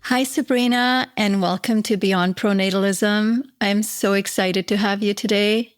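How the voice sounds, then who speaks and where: A woman hosts an interview podcast, reading a scripted intro and then asking encouraging questions. Her voice sits at an average pitch, and clear guitar music plays underneath. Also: fairly even intonation, a little slow, no room sound, studio quality. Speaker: podcast host.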